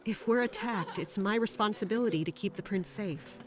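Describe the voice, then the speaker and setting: A woman's voice: mid-range voice, a little fast, a crowd in the background, lightly acted. An actress playing a serious, dutiful young royal bodyguard in an audio drama, formal and guarded in her speech. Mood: stern, guarded.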